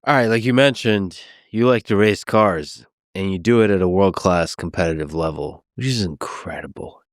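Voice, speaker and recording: A male podcast host asks quiet, measured questions. His voice is low and smooth, and it sits close and dry on the mic. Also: no room sound. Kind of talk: interview questions.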